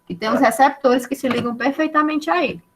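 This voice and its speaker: A woman teaches an online class with a fairly high voice. She explains step by step and keeps checking with her students. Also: a little fast, a bit bright. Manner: explains patiently with many checking tags like "né" and "tá".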